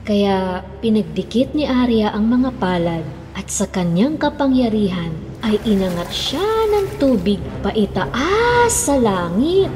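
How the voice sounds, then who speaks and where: A woman's voice: medium pitch, big pitch swings, slow pace, a little mellow, a little hiss or hum. A woman narrating a children's fairy tale as a storyteller, in a scripted style. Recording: long reverb, music behind.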